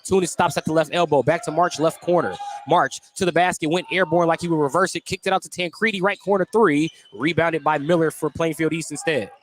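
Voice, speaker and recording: A man's voice: fairly high pitch, fairly even intonation, brisk, few pauses, slight background noise. A man doing live radio play-by-play of a basketball game in clipped, descriptive running calls. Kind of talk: live radio sports commentary.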